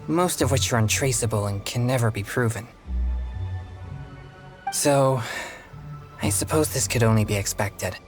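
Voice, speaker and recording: A man's voice: medium pitch, lightly acted. Game role: earnest teen boy exorcist male actor, mid-range voice, courteous formal delivery, music behind. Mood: thoughtful, focused. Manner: formal, measured, earnest phrasing. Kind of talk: video game voice acting.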